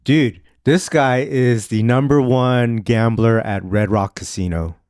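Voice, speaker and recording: A man hosting a podcast, talking in a relaxed, chatty way that is full of "like" and "you know". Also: mid-range voice, takes it slowly, smooth, dry recording, clean studio sound. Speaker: podcast host. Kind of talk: casual chat. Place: podcast studio.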